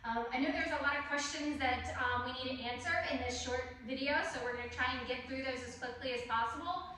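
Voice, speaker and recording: Casual high-voiced woman, crisp tone, chatty team spokesperson, roomy far-off sound. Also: steady tone, fairly quick, pushed and bright, a little hiss or hum. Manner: chatty, many ums and restarts. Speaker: property office staff member. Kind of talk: casual team video presentation. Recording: echoey room from far off.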